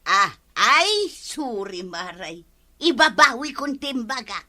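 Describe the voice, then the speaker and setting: A woman's voice: on the high side, sing-song, slow, sharp tone, heavily acted. An actress playing a teasing, chatty village woman in a comedy radio drama, drawing out her words with an Ilocano accent. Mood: complaining.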